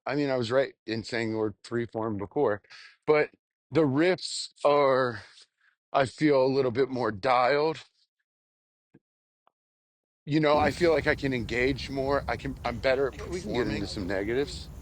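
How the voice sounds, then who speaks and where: A young adult man, a stand-up comedian, rambling casually and candidly in a halting, self-reflective way with some casual swearing. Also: medium pitch, dry recording. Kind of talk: casual rambling monologue, audio journal.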